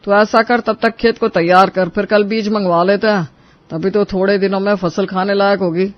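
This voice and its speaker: Very high-voiced stubborn old father-in-law acted by a man. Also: fairly even intonation, normal speed, no echo, dull audio, close to an everyday voice. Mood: cheerful, bossy. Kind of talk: animated Hindi moral story dialogue.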